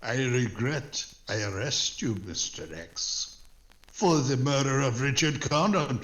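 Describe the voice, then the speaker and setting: A man's voice: medium pitch, slow, background noise, close to an everyday voice. A man playing a timid, muddled, obsequious police underling in a 1940s-style radio drama, apologetic and hesitant. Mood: solemn.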